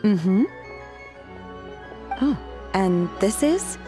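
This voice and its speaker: Deadpan young waitress, medium pitch. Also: female voice, drawn-out words, music in the background. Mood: flat, businesslike. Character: reserved, literal-minded young female disciple working as waitress. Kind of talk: scripted game dialogue.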